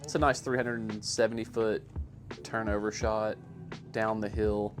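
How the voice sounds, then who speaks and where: A man giving a casual, self-deprecating voice-over commentary on his own amateur sports highlights in a matter-of-fact way. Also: little pitch movement, a little slow, music in the background. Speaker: amateur disc golfer narrating own highlights.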